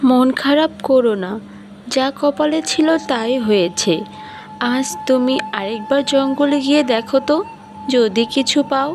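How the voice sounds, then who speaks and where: Woman playing a high-pitched, caring village wife. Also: a little slow. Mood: consoling. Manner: concerned, coaxing. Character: caring, practical village wife of a poor man. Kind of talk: animated moral story dialogue. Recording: voice over light background music.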